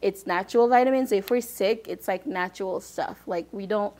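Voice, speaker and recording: A woman's voice: mid-range voice, a little fast. Chatty woman in her thirties from Haiti. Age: 30s. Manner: chatty, animated, lots of "like". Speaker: talk show co-host. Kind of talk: casual chat. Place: TV studio set, seated on sofa.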